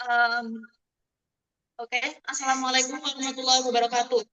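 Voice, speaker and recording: A woman's voice: sits high, level tone, brisk, sharp tone. A young woman with an Indonesian accent, a native Indonesian speaker, asking a question politely in formal, hesitant English over dull audio. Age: young adult. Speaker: student audience member asking a question.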